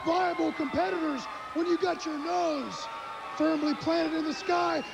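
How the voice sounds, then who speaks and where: A man's voice: extremely high pitch, steady tone, measured pace, gravelly, music in the background, poor audio. A man delivering a theatrical, insulting wrestling promo in an emphatic, showy way. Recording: archival TV audio with a loud crowd. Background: arena crowd noise.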